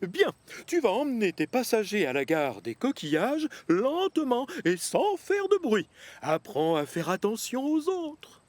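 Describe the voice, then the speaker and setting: High, swooping, pompous railway boss. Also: male voice, wildly swooping pitch, unhurried, a little mellow, slight background noise, exaggerated voice. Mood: stern, scolding. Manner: authoritative, emphatic. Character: pompous, fatherly male railway controller, firm boss. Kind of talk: children's cartoon dub.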